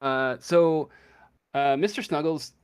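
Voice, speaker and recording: A man narrating a tabletop game as game master, halting and searching for words as he improvises. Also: fairly high pitch, clean tone.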